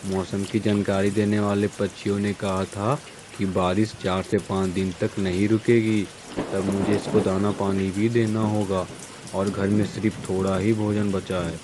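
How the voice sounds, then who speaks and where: A man's voice: on the low side, little pitch movement, a little slow, close to an everyday voice. A man voicing a brotherly but self-serving crow in a cartoon story, conversational, with rain noise behind him. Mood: calculating. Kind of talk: dramatized Hindi children's cartoon story.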